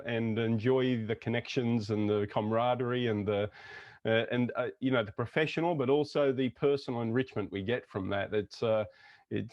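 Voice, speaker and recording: A man's voice: medium pitch, a little mellow, no room sound, lo-fi sound. A man with an Australian accent, talking earnestly as an interview guest, with many fillers and restarts. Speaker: podcast guest. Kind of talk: casual interview talk.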